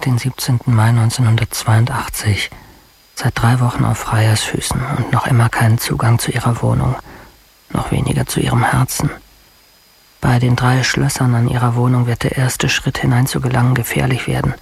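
Husky, gravelly obsessive stalker. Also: male voice, mid-range voice. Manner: measured, intimate dictation. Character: obsessive male stalker, coolly infatuated, keeping a diary. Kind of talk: German radio drama, diary monologue.

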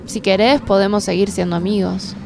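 A woman's voice: mid-range voice, fairly even intonation, brisk, gravelly, crowd noise, natural voice. A woman playing a naive, affectionate young woman in a comedy radio drama, guileless and questioning, with an Argentine accent. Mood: gentle, naive.

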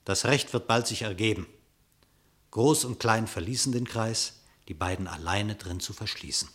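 An actor playing a storyteller, narrating a radio-play animal fable in verse with theatrical, vivid declamation and a husky voice. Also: male voice, lowish voice, normal speed, a bit bright. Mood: dramatic, vivid.

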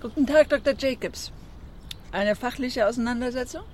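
High-pitched frank male scientist. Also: moderate pace, a little mellow, slight background noise. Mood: frank, disapproving. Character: frank, gossiping male senior scientist colleague. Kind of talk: radio drama dialogue.

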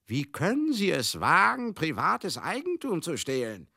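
An actor in a German radio drama monologue, playing a garrulous, rough-mouthed showbusiness man, chatty, theatrical and excitable. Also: on the high side, unhurried, dry recording, studio quality, exaggerated voice. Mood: furious. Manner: chatty, theatrical, swinging into outbursts.

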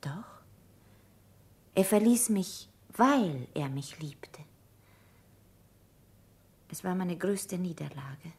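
An actress in a German radio play playing a sorrowful, composed nurse with a hushed, restrained delivery. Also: female voice, fairly low pitch, animated, extremely slow, long pauses, full character voice. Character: sorrowful, composed female nurse, quietly resolute, married.

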